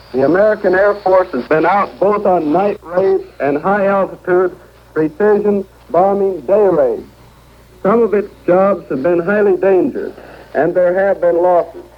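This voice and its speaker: A man reading a scripted 1940s radio war report as a news correspondent. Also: high voice. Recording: noisy muffled 1940s shortwave radio recording.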